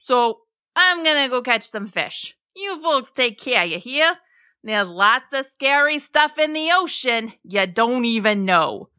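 A woman plays a giant prehistoric sea reptile from a children's story, male, chummy and laid-back. The voice is pushed high and bright, with easygoing, folksy slang and stretched-out words. It sounds eager to be friends and endlessly chatty. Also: very animated, slow pace, clean tone, dry recording, studio quality, heavily acted. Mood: cheerful, laid-back.

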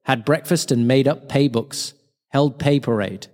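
Man playing wartime airman, terse diary reading, blunt, slangy, matter-of-fact, medium pitch. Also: measured pace, a little mellow, close to an everyday voice.

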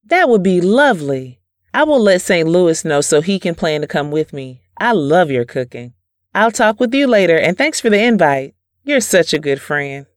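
An actress playing a gentle, trusting wife and mother, politely spoken, in an audio drama. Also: fairly low pitch, very animated, normal speed, no room sound, clean studio sound. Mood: friendly.

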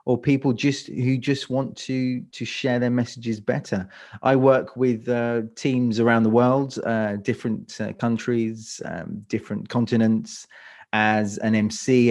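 A man's voice: fairly low pitch, a little mellow. A man with a London accent, a speaker coach answering interview questions, often restarting and repeating words as he thinks. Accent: London English. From England.

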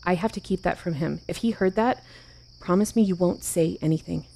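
A woman's voice: on the low side, fairly quick, gravelly, warm tone. A woman playing an anxious, guarded wife with secrets in an audio drama, speaking in hesitant phrases.

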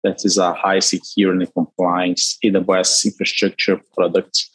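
A man from Brazil with a Brazilian Portuguese accent, a company CEO answering interview questions haltingly with frequent restarts. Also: low-pitched, completely flat delivery, fairly bright tone, slightly rough, no echo, rough recording. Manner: halting, many restarts and fillers.

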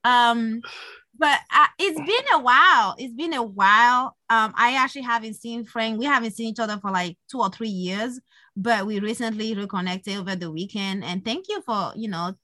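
A woman's voice: on the high side, moderate pace, pushed and bright, no room sound. A woman hosting a podcast interview in an informal, chatty, casual way. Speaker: podcast host.

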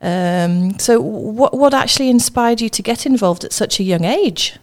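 A woman's voice: medium pitch, lively intonation, normal speed, a little mellow. A woman, a teacher, hosting an interview podcast. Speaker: host, school teacher.

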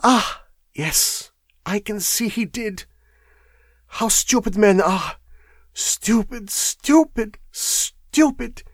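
A man voicing a pale foreign wife, quiet, coldly controlled and intense, in an audiobook story. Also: high-pitched, lively intonation, very slow, dry recording, studio quality, voice pushed up, full character voice. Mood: scornful.

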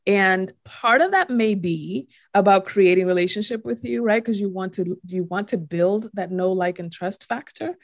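Low gravelly dominican woman chatting on a podcast, dry close sound. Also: no echo. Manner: quick, explanatory, peppered with "right?". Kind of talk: casual podcast chat.

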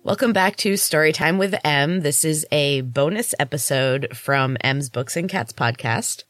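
A woman's voice: deep voice, unhurried, fairly bright tone, faint music behind. A woman hosting a podcast and reading her own fiction aloud as a narrator. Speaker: podcast host and author reading her novel. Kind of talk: audiobook-style story narration.

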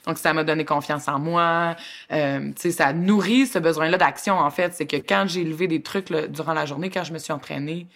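A woman with a Québécois accent co-hosting a podcast, chatting in a lively, expressive, colloquial way and laughing often. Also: low-pitched, a little fast. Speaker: podcast co-host. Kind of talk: casual chat.

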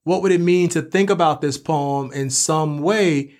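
A man's voice: fairly high pitch, a little slow, a little mellow. A man speaking as a professor and co-host, explaining literature in a scholarly way and often posing rhetorical questions.

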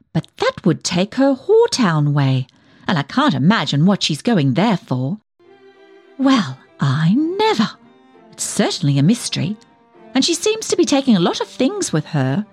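A lively, gossipy village dressmaker. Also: female voice, medium pitch, breathy edge, exaggerated voice. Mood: nosy, puzzled. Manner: chatty, opinionated, tactless, digressive. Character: gossipy, self-righteous female village dressmaker, nosy chatterbox. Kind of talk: comic dramatic monologue, audio storytelling.

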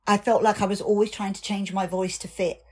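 Candid raspy-voiced woman. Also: mid-range voice, flat delivery, fairly quick, darkish tone. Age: 30s. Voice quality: slightly raspy voice. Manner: candid, reflective, with frequent ums and restarts. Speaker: singer and actor. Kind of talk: podcast interview.

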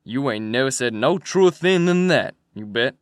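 A man's voice: medium pitch, expressive, normal speed, no echo, clean studio sound, lightly acted. A man voicing a cold, mocking male river thief who sneers at his captive. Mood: sneering.

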